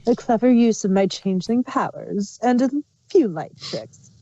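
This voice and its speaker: A woman's take on a changeling villain queen, sly, wistful, mellow, fan fiction drama, muffled recording. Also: a little high, animated, moderate pace, close to an everyday voice. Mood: smug. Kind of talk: amateur fan fiction reading. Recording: muffled, roomy home recording.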